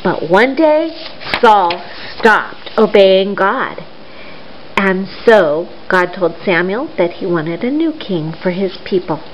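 Middle-aged female children's storyteller, very expressive delivery, simple questions and pauses for little listeners; roomy home recording. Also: medium pitch. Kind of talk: storytime talk and read-aloud for young children. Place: living room at home, indoors. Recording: roomy, dull sound with faint noise.

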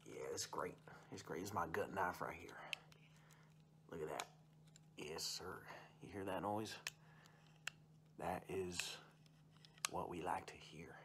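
A young man acts out a wild, rustic uncle in an ASMR roleplay. His voice sits a little low, and he rambles in a folksy, bragging way, circling back to stock phrases. The recording is made close up indoors, with audible background noise and hum. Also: slow, pauses often. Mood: boastful, enthusiastic. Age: young adult. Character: wild rustic uncle, boastful hunting and fishing enthusiast. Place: small room indoors.

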